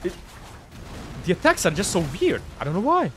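Lively Croatian man streaming a game. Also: high voice, a little fast, a little hiss or hum. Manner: excitable, swears and laughs while fighting bosses. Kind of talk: live gameplay commentary reading chat. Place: home streaming room, indoors. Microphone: headset.

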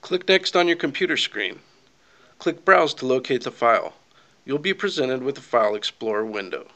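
Man narrating a scripted software tutorial. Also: medium pitch, lively intonation, normal speed, muffled recording. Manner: clear step-by-step instructing.

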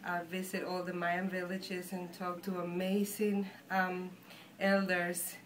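A woman with a Guatemalan Spanish accent speaks as a spiritual guide and guest. Her pitch is a bit lower than usual. She is earnest and reassuring, feeling her way through her sentences in an informal talk into a phone. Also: completely flat delivery, takes it slowly, slight background noise, poor audio. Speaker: shaman and healer, guest. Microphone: phone handed over.

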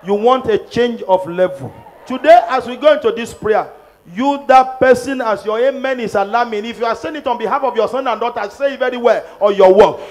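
A middle-aged man with a Nigerian accent leading prophetic prayers, shouting declarations into a handheld microphone in a large church. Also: very high-pitched, brisk. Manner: fervent, shouting declarations, repeating lines for the crowd. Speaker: pastor. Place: large church auditorium, on a stage at a pulpit.

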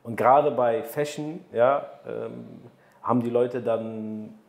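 A man's voice: mid-range voice, fairly even intonation, slow pace, gravelly, a little mellow. Roomy-sounding male podcast host. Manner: motivational, emphatic when making a point. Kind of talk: interview conversation. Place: studio room with city view. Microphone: desk microphone on boom arm.

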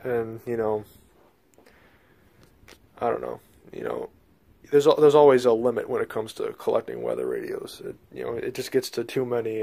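An American man with a slightly gravelly voice giving a casual, halting, rambling video tour indoors on a handheld phone. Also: mid-range voice, warm tone. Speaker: host, hobbyist collector. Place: small bedroom, indoors. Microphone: handheld phone, speaker behind the camera.

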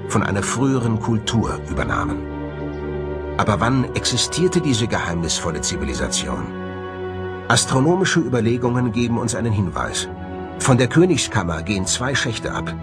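A man delivering scripted narration for a documentary. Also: lowish voice. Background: background music.

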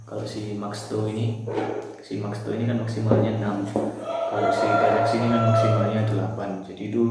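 A man's voice: lowish voice, warm tone, slight background noise. A young man presenting an informal step-by-step product tutorial in a small bare room. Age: young adult. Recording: very echoey, reverberant room.

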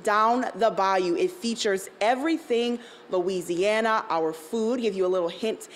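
A woman's voice: mid-range voice, a little slow. A young woman reporting live for TV news from inside an aquarium, chatty and upbeat, speaking into a handheld mic. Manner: bubbly, chatty, laughs often. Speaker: field reporter. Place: indoor aquarium exhibit. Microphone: handheld station microphone.

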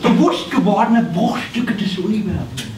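An actor playing a fussy, pedantic tax inspector in a live comedy play, officious and prone to stammering. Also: male voice, high voice, normal speed, lightly acted. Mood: pompous. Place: small theatre stage. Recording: roomy stage recording from far off, noisy.

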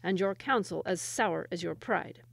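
A woman's voice: medium pitch, brisk, a little mellow, clean tone, no room sound, natural voice. A woman voicing a stern, wrathful male king in a storybook, commanding and reproachful. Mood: furious.

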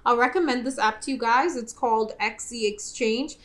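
A woman's voice: on the high side, normal speed, a bit bright. Chatty young woman giving travel tips. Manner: chatty, direct, addresses viewers as guys. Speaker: travel vlogger. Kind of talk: casual travel tips to camera. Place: indoor bedroom.

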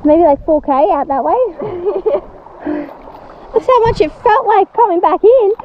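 A woman's voice: very high-pitched, expressive, measured pace, lo-fi sound. A young Australian woman vlogging casually outdoors in an easygoing, self-deprecating, joking way. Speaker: camping and fishing vlogger. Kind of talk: casual vlog chat. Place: outdoors at a beach campsite. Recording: outdoor camera audio with faint noise.

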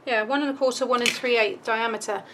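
Woman, British accent, fairly high voice, starting to lose her voice, informal craft instruction, thinking aloud, noticeable background noise. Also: steady tone, quick pace. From United Kingdom. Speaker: craft tutorial host. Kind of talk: casual step-by-step craft tutorial. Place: indoors at a craft table.

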